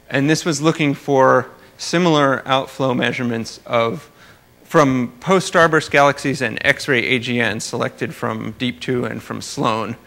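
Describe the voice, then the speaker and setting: A man with a bright, forceful voice and frequent vocal fry, presenting research in an academic lecture. His pitch sits in an ordinary male range. He speaks haltingly, often rewording mid-sentence. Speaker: astrophysics researcher presenting at a conference. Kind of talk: academic lecture talk with slides.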